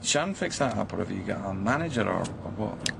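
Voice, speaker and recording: A patient detective in a radio crime drama. His fairly low voice questions softly and slightly hesitantly, over clear background noise. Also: expressive, a little fast. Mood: questioning. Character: patient, gentle male police detective taking a statement. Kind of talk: naturalistic radio drama acting.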